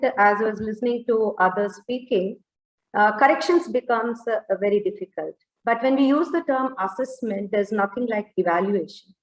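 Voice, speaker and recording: A woman with an Indian accent speaking off the cuff as an education panelist, in a halting way with frequent self-corrections. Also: on the high side. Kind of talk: extempore panel talk on education. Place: indoor room, online webinar. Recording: roomy, echoing online call audio.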